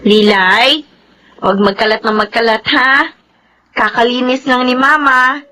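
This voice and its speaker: An actress playing a brisk, house-proud Filipino mother and elder sister in a radio drama. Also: on the high side, steady tone, music in the background, close to an everyday voice. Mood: chiding.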